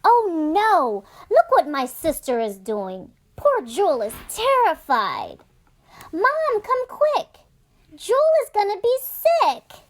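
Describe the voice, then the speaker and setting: A woman voicing a fancy, excitable little girl heroine of a storybook, theatrical and proud of her posh vocabulary. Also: very high voice, takes it slowly, pitched above the performer's own voice, full character voice. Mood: alarmed.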